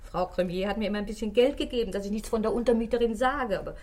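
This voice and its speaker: Eine Schauspielerin spielt in einem deutschen Hörspiel eine geschwätzige, ausweichende Concierge, die zögernd und vertraulich redet. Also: female voice, medium pitch, rapid-fire, a little mellow, a little hiss or hum, close to an everyday voice. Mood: evasive, confiding. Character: gossipy, evasive, money-minded Parisian concierge woman. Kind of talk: German radio play acting.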